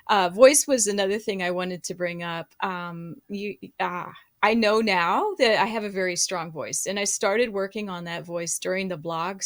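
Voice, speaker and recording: A woman, a co-author on a podcast, speaking in a measured way with frequent fillers. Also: medium pitch. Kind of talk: casual podcast chat.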